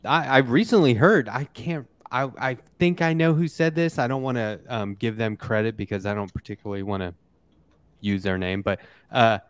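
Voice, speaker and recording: A man hosting a casual political podcast, talking in a halting, conversational way as he interviews his guests. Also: mid-range voice, smooth, no echo.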